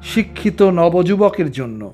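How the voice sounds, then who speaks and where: An authoritative government officer in a village cartoon. The voice is rather high, with a formal, explanatory manner. Light music plays in the background. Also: male voice, lively intonation, moderate pace, darkish tone. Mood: reassuring, official. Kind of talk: Bengali cartoon voice acting.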